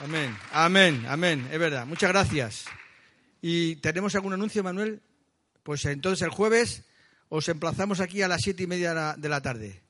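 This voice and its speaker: High-pitched Spanish man leading church gathering. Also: moderate pace, bright, forceful tone, some chatter behind, slight background noise. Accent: Spain. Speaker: church meeting leader. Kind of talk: informal church announcements, greeting visitors.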